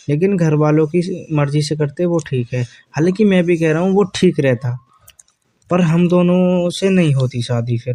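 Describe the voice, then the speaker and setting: A man's voice: on the high side, mellow, no echo, lightly acted. A man playing a defensive young husband in an audio drama, speaking in a colloquial, offhand, placating way. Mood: arguing mildly. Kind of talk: improvised-sounding domestic dialogue in an audio story.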